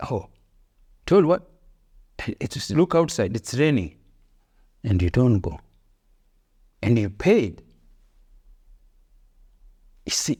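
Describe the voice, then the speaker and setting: A man's voice: mid-range voice, a little mellow. A middle-aged man with a Rwandan accent, co-hosting a podcast and giving earnest, preachy advice in broken English, repeating his key phrases. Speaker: podcast co-host, father. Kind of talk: casual motivational chat.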